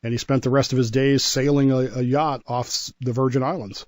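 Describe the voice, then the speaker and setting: An American man gives conversational history commentary on the radio. His voice sits at a middling pitch, and he explains in a measured, halting way with repeated words. The sound is dry and close. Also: little pitch movement, darkish tone, dry recording.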